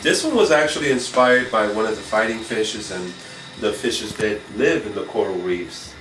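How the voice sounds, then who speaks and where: A middle-aged man from Puerto Rico, raised in New York City, talking casually and a little haltingly as a fashion designer being interviewed. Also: on the low side, expressive, a bit bright, recorded from a distance, slight background noise. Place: designer's indoor studio with sewing machines. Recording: echoey room, off-mic, music behind.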